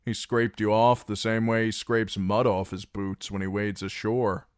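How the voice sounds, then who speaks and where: A man's voice: on the low side, a little slow, no echo, clean studio sound, pitched above the performer's own voice, close to an everyday voice. A man voicing a broken, terrified young male captive who carries a message and pleads urgently with a hostile crowd. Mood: scornful.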